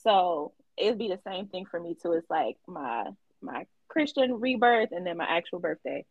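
A woman's voice: fairly low pitch, lively intonation, moderate pace, no echo, phone-quality sound. A woman hosting a podcast, interviewing a guest in a casual, encouraging way. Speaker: podcast host.

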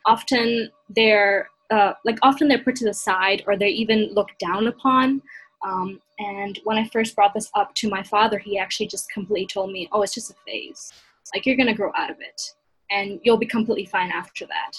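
A woman's voice: medium pitch, normal speed, a bit bright, husky, rough recording. A young woman from Hong Kong talking earnestly and reflectively in a casual discussion, with frequent fillers. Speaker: panel guest.

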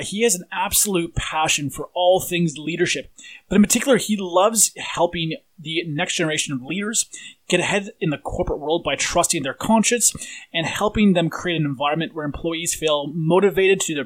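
Higher-pitched male podcast host. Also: fairly bright tone, poor audio. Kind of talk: scripted-sounding podcast intro and interview chat.

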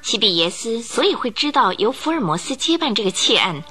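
Lively woman narrating a radio drama. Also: fairly high pitch, brisk, faint music behind. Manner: lively storytelling, rising for suspense.

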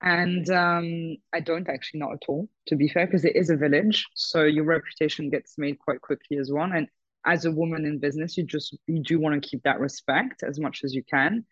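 A woman's voice: low-pitched, fairly even intonation, brisk, no echo, lo-fi sound. Frank, emphatic businesswoman in an interview. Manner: frank and emphatic, repeats "totally" and "hundred percent". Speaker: guest, businesswoman. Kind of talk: casual interview conversation.

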